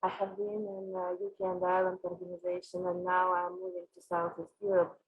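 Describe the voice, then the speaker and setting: A woman from Russia with a Russian accent, answering interview questions as a company executive, haltingly and with frequent filled pauses. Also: mid-range voice, level tone, gravelly, husky, muffled recording. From Moscow, Russia. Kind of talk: interview answers on an online panel.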